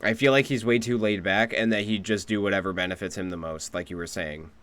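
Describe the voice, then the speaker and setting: A man's voice: lowish voice, steady tone, fast talker, fairly bright tone. A man giving casual, conversational playthrough commentary as a game streamer.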